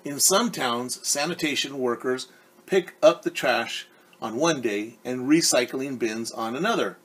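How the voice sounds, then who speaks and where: A man's voice: mid-range voice, a little slow, slightly rough, low-quality recording. A man in his forties reading a picture book aloud as a teacher, stopping to explain things simply and ask the children questions. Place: living room at home, indoors. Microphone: device camera at about arm's length.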